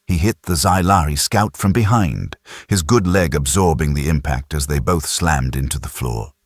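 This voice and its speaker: Very deep, husky male audiobook narrator. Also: no room sound, clean studio sound. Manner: intense, grave storytelling. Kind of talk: dramatic scripted sci-fi narration.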